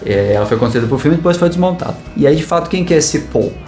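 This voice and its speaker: A man co-hosting a casual film talk show, speaking in a relaxed, meandering way with many fillers. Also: a little high, fast. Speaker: podcast co-host. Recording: roomy echo, faint guitar music.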